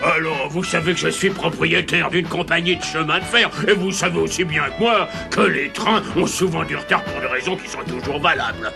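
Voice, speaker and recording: A self-important cartoon railway owner with a high, gravelly voice. He sounds knowing and matter-of-fact, over prominent background music. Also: fairly quick, few pauses. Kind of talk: French cartoon voice acting.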